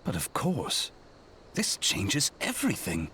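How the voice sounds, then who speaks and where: Wry adventurer, very lively pitch swings. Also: male voice, takes it slowly, slight background noise, exaggerated voice. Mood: intrigued. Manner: wry, teasing, theatrical formal diction. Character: wry, urbane male adventurer-scholar, coolly perceptive. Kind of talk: fantasy game cutscene acting.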